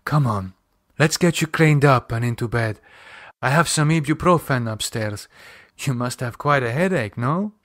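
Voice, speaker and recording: A man voicing a calm, solicitous foreign woman in an audiobook horror drama, gently commanding, with precise formal phrasing. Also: mid-range voice, normal speed, dry recording, clean studio sound, natural voice. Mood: soothing.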